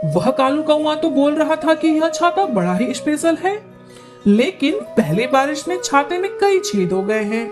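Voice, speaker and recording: A woman voicing a snooty, gossipy female bird in a children's cartoon, with exclamatory, expressive delivery. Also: sits high, normal speed, music in the background. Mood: aggrieved. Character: snooty female pigeon neighbour, haughty and gossipy. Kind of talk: Hindi children's cartoon dubbing.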